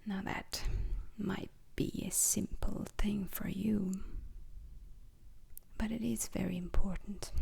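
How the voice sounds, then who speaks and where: A woman speaks in a breathy whisper, very slowly and evenly, as a calm instructor in an ASMR roleplay. Her phrases are short and deliberate, with pauses between them. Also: medium pitch. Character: calm, formal instructor briefing space crew trainees.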